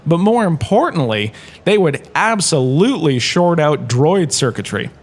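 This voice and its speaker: A man's voice: a little high, very animated, a little slow, exaggerated voice. A man playing a smug washed-up star who lectures as a history professor, conversational and wry, in a comedic audio drama. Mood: wry, breezy. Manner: conversational, self-satisfied, wry asides.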